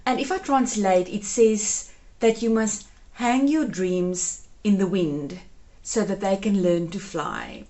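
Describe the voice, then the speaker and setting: A woman's voice: medium pitch, big pitch swings, takes it slowly, warm tone. A woman in her thirties whose first language is Afrikaans, chatting her way through a home decluttering vlog and gushing over keepsakes. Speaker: home organizing vlogger.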